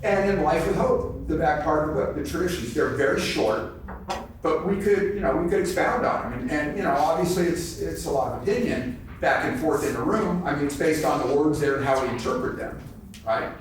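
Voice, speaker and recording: A man with a slightly high voice speaks from well away from the mic in a very reverberant meeting room. He shares without a script, doubling back on his words as he goes. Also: lively intonation, fairly quick. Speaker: meeting participant sharing from the floor. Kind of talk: unscripted sharing at a recovery convention. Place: convention meeting room, indoors. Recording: very echoey hall, distant mic, background noise.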